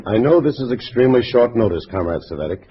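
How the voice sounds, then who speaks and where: Man acting a formal party comrade, lively intonation. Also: medium pitch, fast, close to an everyday voice. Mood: earnest, apologetic. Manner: formal, earnest. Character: formal, deferential male communist party comrade. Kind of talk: 1950s radio drama acting. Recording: muffled, faintly noisy vintage radio recording.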